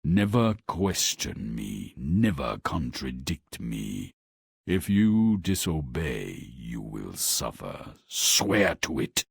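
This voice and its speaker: A man's voice: sing-song, dry recording, studio quality, heavily acted. A man playing Death as a stern, commanding supernatural godfather in a fairy-tale video game. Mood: menacing. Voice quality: very deep, gravelly, husky. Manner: slow, commanding, ominous.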